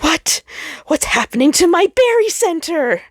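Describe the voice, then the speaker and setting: A woman's voice: very high-pitched, very animated, measured pace, no room sound, pitched above the performer's own voice, full character voice. A wounded male sun played by a woman reader speaks with melodramatic indignation. The storybook character's voice is raised and emphatic, and the sound is dry. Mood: distressed, panicked. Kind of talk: dramatic storybook character reading.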